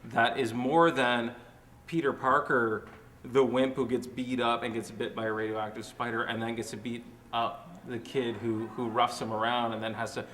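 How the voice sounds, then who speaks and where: A man's voice: mid-range voice, flat delivery, normal speed, a bit bright, a little hiss or hum. A man talking casually and emphatically as an enthusiast on a comic review panel.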